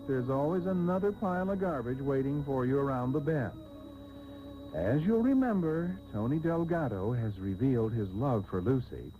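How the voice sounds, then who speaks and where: An actor playing a jaded, grandiose American soap-opera radio host, narrating with theatrical, sardonic, drawn-out delivery on an old tape. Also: male voice, medium pitch, narrow, tinny audio, natural voice. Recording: phone-thin old radio tape, music behind.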